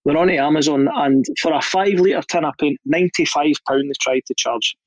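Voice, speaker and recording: A middle-aged Scottish man talks as a podcast guest with a voice a touch higher than most men's. He tells his stories with dry, wry humour. The recording is dry and close, with no room echo. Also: a little fast. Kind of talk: casual podcast chat.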